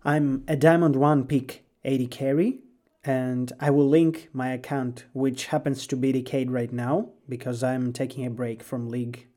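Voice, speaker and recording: A young man with a Greek accent speaks in a mellow, warm tone. He talks earnestly and sounds slightly nervous as he hosts his own podcast alone. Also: mid-range voice, flat delivery, moderate pace. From Greece. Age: 20s. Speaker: podcast host. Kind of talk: solo podcast monologue.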